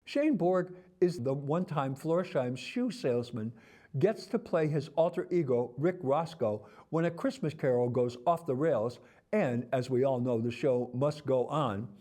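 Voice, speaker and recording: A man reading a scripted theater review as a critic, with articulate, expressive and theatrical phrasing. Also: mid-range voice, a little slow.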